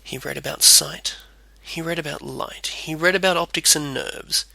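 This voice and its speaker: A man's voice: on the high side, normal speed, gravelly, bright, forceful tone, no room sound. A man reading a scripted storybook tale as its narrator.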